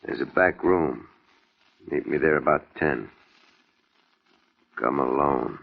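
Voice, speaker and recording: An actor playing a measured, doubting tough man of authority in a vintage radio crime drama. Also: rumbling low, gravelly, full character voice. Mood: cool, measured. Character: skeptical, authoritative male police captain and smooth racketeer. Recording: muffled vintage radio recording.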